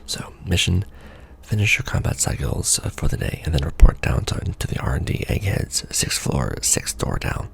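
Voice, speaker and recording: A man performing an ASMR roleplay as a seasoned, wry secret-society official, talking softly and casually with a gravelly, breathy undertone. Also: rumbling low, sing-song. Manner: wry, casual, unhurried explaining. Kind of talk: ASMR roleplay monologue, soft conversational briefing.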